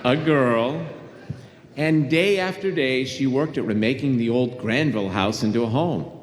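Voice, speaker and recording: A man's voice: medium pitch, measured pace, slight background noise, natural voice. A man acting as the storytelling narrator of a radio play, delivering scripted dramatic narration. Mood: measured. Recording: echoey hall, loud guitar behind. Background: plucked guitar music.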